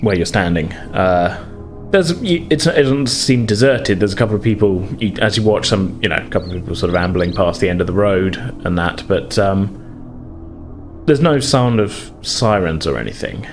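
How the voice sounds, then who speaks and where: A man's voice: deep voice, expressive, moderate pace, soft background music. A man running a tabletop roleplaying game, improvising scene descriptions in an informal, hesitant way. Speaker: game master. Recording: faint noise and ambience behind.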